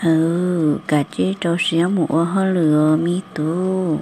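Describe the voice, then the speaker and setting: An actress voicing a woman in an animated family drama, in an amateur cartoon style. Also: on the low side, slow pace, slight background noise. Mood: conversational.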